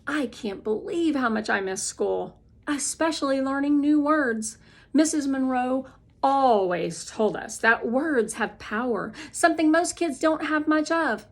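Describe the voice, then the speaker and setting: An earnest, wistful preteen girl voiced by a woman tells her own storybook tale. Her voice sits fairly high, and she takes her time with the words. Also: expressive, measured pace. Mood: earnest, eager.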